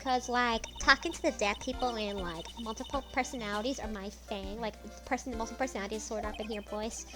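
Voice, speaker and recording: A woman's voice: a little high, a little fast, hardly any pauses, lightly acted. A woman voicing a ditzy valley-girl college student who fills every sentence with "like". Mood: airy. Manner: airy, filler-heavy, says "like" constantly. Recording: game music behind voice. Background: video game music.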